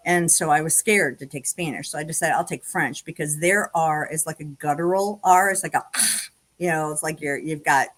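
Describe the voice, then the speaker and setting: Chatty woman, lowish voice. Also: moderate pace, fairly bright tone. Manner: chatty storyteller, frequent fillers and restarts, laughs often. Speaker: podcast host. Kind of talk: casual conversation.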